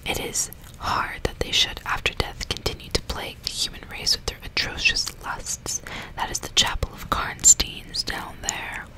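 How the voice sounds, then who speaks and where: A woman reading the part of a grim, vengeful old male general in a storybook, in a breathy, deliberate whisper. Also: natural voice, low-quality recording. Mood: solemn.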